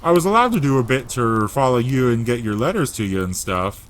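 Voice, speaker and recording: A man voicing a gruff, warm-hearted giant gamekeeper, blustery and loyal, in growling rustic dialect for a storybook audio drama. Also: medium pitch, lively intonation, a little fast, close to an everyday voice. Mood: sheepish.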